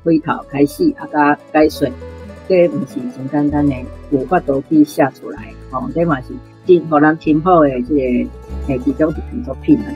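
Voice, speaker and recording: A woman's voice: sits low, fairly even intonation, normal speed, dull audio, faint music behind. A woman with a Taiwanese accent giving unscripted, explanatory commentary on literature as part of a radio programme. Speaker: radio programme guest or presenter discussing literature.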